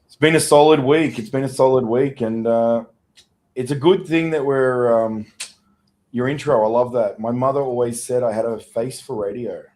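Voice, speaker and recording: A man co-hosting a business podcast, talking in a relaxed, conversational way. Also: medium pitch, moderate pace, slight background noise. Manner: relaxed, conversational, chuckles easily. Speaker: podcast co-host. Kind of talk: casual chat.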